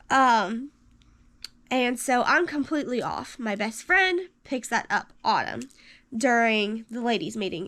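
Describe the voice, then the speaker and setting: A young woman in her twenties telling a personal story to camera, animated, rambling with fillers and jumping up in pitch for quoted lines. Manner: rambling with many fillers and restarts. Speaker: vlogger.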